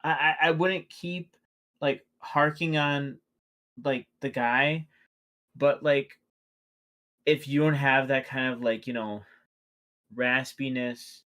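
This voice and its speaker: Guy rambling on a watch-along stream, lots of like and restarts, mid pitch. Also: steady tone, slow, pauses often, fairly bright tone. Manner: rambling, many restarts and "like" fillers. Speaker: watchalong co-host. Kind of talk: casual reaction chat.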